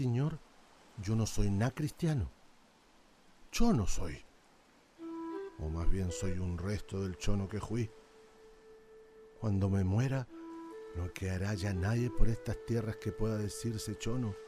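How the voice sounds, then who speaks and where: Low-voiced, weary old rustic islander. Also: male voice, music in the background. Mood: resigned, melancholy. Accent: southern Chilean. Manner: rustic, deferential, halting storytelling. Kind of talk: radio drama acting.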